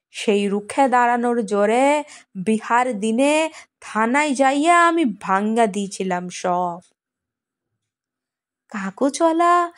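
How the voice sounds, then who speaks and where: A woman performing a dramatic monologue poem as a poor young village woman, plaintive yet defiant, in a rural Purulia dialect of western Bengal with lingering pauses. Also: sits high.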